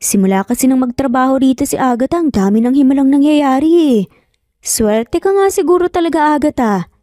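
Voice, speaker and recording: Woman acting a high-pitched, chatty young office coworker. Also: lively intonation, brisk, warm tone, no echo, studio quality, soft background music. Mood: excited, teasing. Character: cheerful, gossipy female office coworker, young adult. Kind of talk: Tagalog audio story dialogue.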